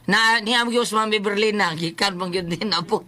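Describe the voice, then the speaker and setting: Cebuano speaker on a chat show, really bright punchy voice, mid pitch, animated, repeats stuff. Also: normal speed. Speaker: co-host of an advice show. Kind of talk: casual, joking advice chat in a group.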